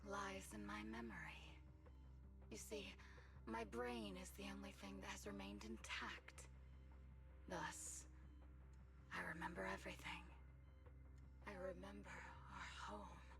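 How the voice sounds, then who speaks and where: A woman playing a brooding, obsessive wounded soldier villain in a video game, speaking in slow, deliberate, dramatic monologue. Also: mid-range voice, vocal fry. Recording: music playing behind the voice.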